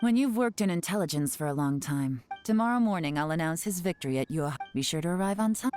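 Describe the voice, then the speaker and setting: A woman voicing a cool, commanding spymaster in a fantasy video game, speaking in a composed, measured way. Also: on the low side, expressive, a little mellow, natural voice. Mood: cool, in control. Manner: composed, measured, giving orders. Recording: background music under the voice.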